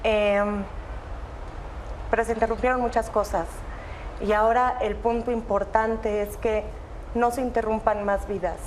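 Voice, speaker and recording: A young adult woman, a student interviewed as an evacuee, speaking in an earnest, halting way and repeating phrases. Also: fairly high pitch, level tone, slight background noise, rough recording. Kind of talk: emotional interview testimony.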